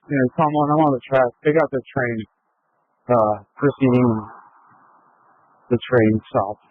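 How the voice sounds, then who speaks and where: A man's voice: mid-range voice, a little slow, dry recording. A man working as a field responder, giving brief reports over a narrow radio. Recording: tinny, phone-like radio transmission.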